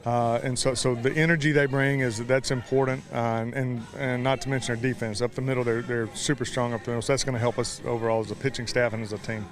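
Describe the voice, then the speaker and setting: A man's voice: mid-range voice, little pitch movement, fast talker, few pauses. A middle-aged American man, a baseball coach, answering interview questions in a folksy, plain-spoken way.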